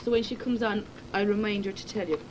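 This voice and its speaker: A teenage girl from Cork with a Cork Irish accent, talking fast and jokingly on a tape letter, quick to protest and laugh. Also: medium pitch, steady tone. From Ireland. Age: late teens. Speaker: schoolgirl recording a tape letter. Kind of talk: casual chat recorded on a home cassette. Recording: hissy 1980s cassette tape.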